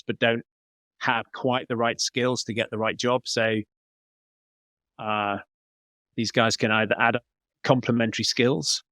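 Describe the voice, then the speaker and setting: A man's voice: medium pitch, a bit bright, no room sound. A man giving conversational interview answers as a podcast guest, explaining things as he thinks aloud. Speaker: podcast guest, business coach.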